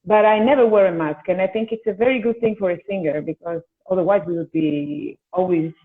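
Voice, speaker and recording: A woman's voice: lowish voice, brisk. A woman, hosting a casual video-call chat and often restarting her sentences as she talks. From Italy. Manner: chatty, with frequent restarts. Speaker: host and singer. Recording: tinny phone-like call audio.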